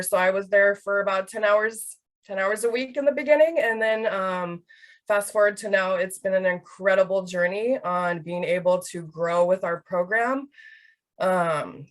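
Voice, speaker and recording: A woman in her thirties from Hawaii, presenting a webinar in a casual, chatty way with many fillers. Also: medium pitch. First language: Hawaiian Pidgin. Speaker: research project manager.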